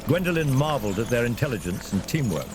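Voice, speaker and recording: Animated male fantasy story narrator. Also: mid-range voice, quick pace, loud music behind the voice. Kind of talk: dramatic scripted fantasy narration. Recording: clear vehicle and ambience noise behind.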